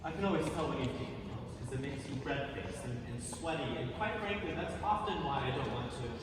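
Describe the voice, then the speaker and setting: A man's voice: mid-range voice, fairly quick, natural voice. Man acting a tormented devout husband, echoey hall. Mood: cold, critical. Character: repressed, devout young husband, tormented and defensive. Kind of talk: live dramatic stage scene reading. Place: large reverberant museum hall. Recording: echoey hall from far off, noisy.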